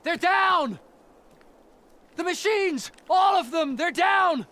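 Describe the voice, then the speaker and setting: Very high-pitched panicked soldier. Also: male voice, animated, slow pace, sharp tone, exaggerated voice. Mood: alarmed shouting. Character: panicked rebel soldier shouting reports. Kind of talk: video game voice acting.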